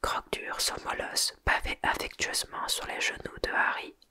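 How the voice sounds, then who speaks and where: A reader narrating a storybook in a steady whisper, close to the microphone. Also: normal speed. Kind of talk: ASMR whispered storybook reading.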